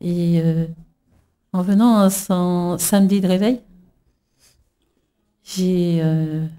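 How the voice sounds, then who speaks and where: Middle-aged woman from New Caledonia, emotional church testimony, slow and halting, dark lowish voice. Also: fairly even intonation, smooth. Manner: halting, many fillers and restarts. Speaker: church member giving testimony.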